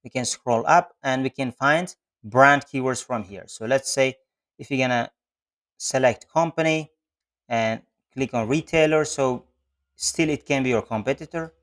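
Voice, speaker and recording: A man presenting an online software tutorial, explaining each step methodically and repeating key points. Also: mid-range voice, unhurried. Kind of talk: step-by-step screen-share tutorial narration. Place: voice-over on a screen recording.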